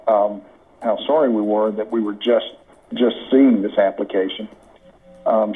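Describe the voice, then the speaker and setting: An American man giving a formal committee report in a halting, deliberate way over a conference call. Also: lowish voice. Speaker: committee member presenting recommendations. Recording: muffled conference call audio.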